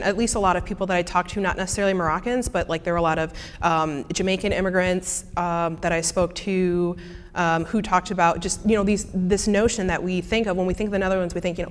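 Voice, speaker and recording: Young Midwestern woman in a hall. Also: fairly low pitch. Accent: Midwestern American. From American Midwest. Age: young adult. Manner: casual, many fillers and restarts. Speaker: student presenter. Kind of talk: answering audience questions after a talk. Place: lecture hall. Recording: reverberant lecture hall.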